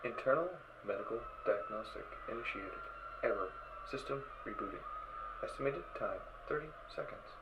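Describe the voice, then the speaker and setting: A man's voice: lowish voice, animated, normal speed, natural voice. Metallic robotic male suit computer, acted out. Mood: impassive, procedural. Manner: clipped, procedural announcements. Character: impassive robotic spacesuit computer system. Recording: dull recording with faint noise.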